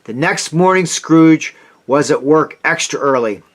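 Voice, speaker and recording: A man reading a children's story aloud as a scripted narration, with expressive, dramatic delivery. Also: fairly high pitch, unhurried.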